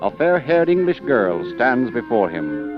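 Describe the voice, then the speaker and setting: A man narrating dramatic old-time radio stories in a theatrical, measured announcer manner. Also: medium pitch, very animated. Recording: muffled old radio recording with music.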